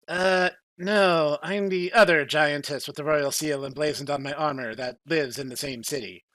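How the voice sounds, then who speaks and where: A man's voice: a little high, normal speed, fairly bright tone, no echo, close to an everyday voice. A man voicing a brash, impatient giantess princess who loves a fight, in a dramatic reading of a game story. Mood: sarcastic.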